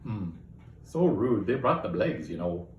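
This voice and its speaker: A man's voice: on the low side, sing-song, unhurried, darkish tone, far from the mic, dull audio. A chatty old alien gossip woman rambles in a folksy way, as a man puts her on. She keeps calling people dearie. The sound is roomy, with faint noise behind. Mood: gossipy.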